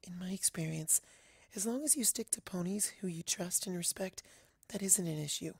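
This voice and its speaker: Casting: woman playing a meek cartoon pony girl, soft and breathy with some creak, on the low side. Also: moderate pace, dry recording. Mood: timid. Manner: hesitant, meek. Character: shy, gentle, timid young female pegasus pony.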